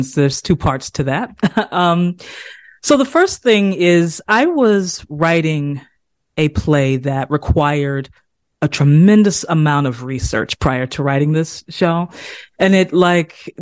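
A woman from South Carolina, a playwright guest talking through her work in a relaxed interview. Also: low-pitched, slow pace, no echo, clean studio sound. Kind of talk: casual interview.

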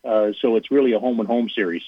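A man's voice: on the low side, fast talker, breathy edge, no echo. A man, an athletic director, talking earnestly on a radio sports show, rambling with many fillers and restarts. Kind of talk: radio interview on a phone line. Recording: tinny phone line, dry.

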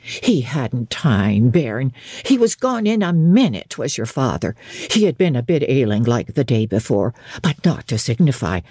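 A woman doing a gruff old servant. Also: a bass voice, very animated, unhurried, mellow, no room sound, clean studio sound, pitched below the performer's own voice, exaggerated voice. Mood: mournful. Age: older. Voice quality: gruff, plain-spoken. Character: blunt, suspicious old female servant.

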